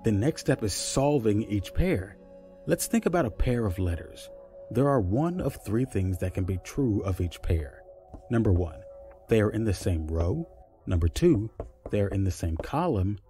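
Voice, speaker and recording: A man's voice: lowish voice, sing-song, normal speed, warm tone, soft background music. An actor playing a patient, puzzle-savvy man who explains step by step in naturalistic audio drama dialogue. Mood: explaining.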